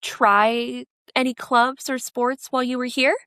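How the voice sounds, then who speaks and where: A woman with a smooth, clear voice sits slightly on the higher side in pitch. She asks casual, conversational questions as an interview host. The sound is dry and close. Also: flat delivery, measured pace, sharp tone, no room sound.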